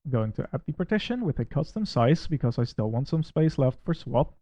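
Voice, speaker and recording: A man with a Dutch accent talks through a screen recording, steady and methodical, with pointed criticism. His voice sits at an ordinary middle pitch. The sound is dry and a little dull, like a simple home mic. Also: brisk, no echo. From Netherlands. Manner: critical, methodical, plainly spoken. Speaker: tech reviewer. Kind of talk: screen-recorded software walkthrough.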